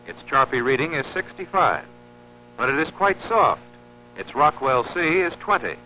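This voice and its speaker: A man narrating an instructional film in a formal, scripted, measured and precise teaching manner. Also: mid-range voice, sing-song, slight background noise. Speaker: narrator of an industrial training film. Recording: dull lo-fi old film soundtrack, humming.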